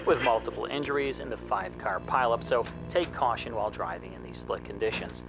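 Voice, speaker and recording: A man's voice: on the low side, brisk, music in the background, lightly acted, rough recording. Matter-of-fact male radio announcer played by an actor. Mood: informative. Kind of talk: radio news bulletin in a drama.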